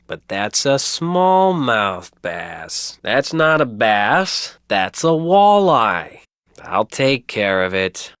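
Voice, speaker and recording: An actor playing a folksy, easygoing small-town printer who loves fishing, chatty in a video game. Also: male voice, medium pitch, fairly bright tone, smooth. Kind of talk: video game character acting.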